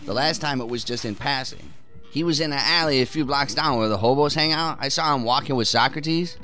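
A man's voice: medium pitch, a little fast, bright, forceful tone, soft background music, lightly acted. An actor playing a cynical, irritated son of a wealthy man in an audio drama, with a scornful, dismissive manner. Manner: scornful, dismissive, chuckling sarcasm. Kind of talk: naturalistic audio drama acting.

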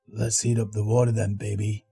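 Low sly coffee-hustler inmate, coaxing drawl, read by a husky-voiced man. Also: flat delivery, normal speed, warm tone, soft background music, heavily acted. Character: sly sweaty older male inmate pushing coffee.

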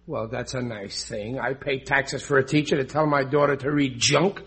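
An actor playing a blustering, stubborn, dim working-class husband of about forty in a 1940s radio comedy, often hesitant and ungrammatical. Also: male voice, medium pitch, steady tone, normal speed, a bit bright, natural voice. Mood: indignant. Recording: old radio broadcast recording.

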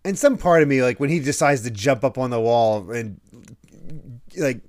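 A man of middle pitch hosts a relaxed discussion podcast, his voice slightly croaky as he gets over a cold. He chuckles between thoughts and slips into quick quoted voices when retelling scenes. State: croaky from a recent illness. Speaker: podcast host. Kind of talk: casual podcast chat.

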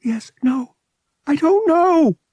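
A man voicing a timid, nervous young woman in an audiobook, breathy, uncertain and hesitant. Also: very high-pitched, expressive, slow pace, a little mellow, no room sound, lo-fi sound, voice pushed up, exaggerated voice. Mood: pleading, near tears.

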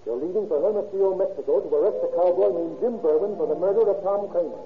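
An actor playing a brisk, commanding American police detective in an old radio drama. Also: male voice, high voice. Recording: tinny, faintly hissy old radio recording.

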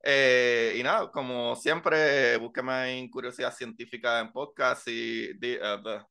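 A man's voice: medium pitch, steady tone, takes it slowly, creaky, bright, forceful tone, clear, resonant tone. A young adult man with a Puerto Rican accent, hosting a podcast in laid-back casual chat, laughing often and slipping in English words. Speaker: podcast host.

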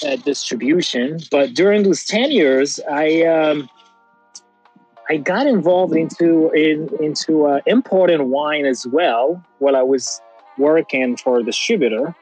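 Halting man, native French speaker, higher-pitched voice. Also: a little mellow, faint music behind, rough recording. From Morocco. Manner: halting, pauses mid-phrase. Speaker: interview guest, wine brand owner. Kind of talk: conversational interview storytelling.

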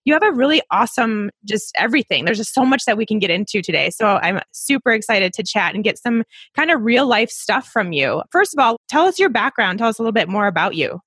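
A woman podcast host with a fairly high voice and a very dry, close sound. She chats casually with her guest and gushes with enthusiasm. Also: very animated, fast talker, hardly any pauses, fairly bright tone, breathy edge, no room sound. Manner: enthusiastic, gushing. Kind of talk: casual interview chat.

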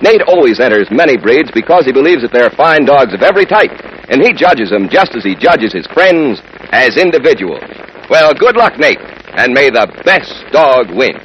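A man's voice: medium pitch, sing-song, normal speed, a bit bright. A man reading a scripted 1940s radio segment as announcer, in a chummy way aimed at youngsters. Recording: muffled old radio broadcast, faint hiss.

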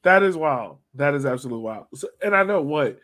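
A man's voice: fairly high pitch, lively intonation, fast talker, fairly bright tone. A man hosting a soccer fan podcast in casual chat, informal and slangy.

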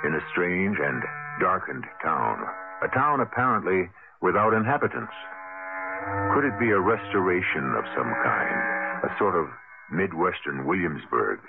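A man with a deep voice narrates a radio mystery as its host. His delivery is measured and dramatic, with wide, expressive intonation. The sound is a narrow, lo-fi broadcast. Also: vocal fry, noisy background. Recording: lo-fi 1980 radio broadcast, music behind.

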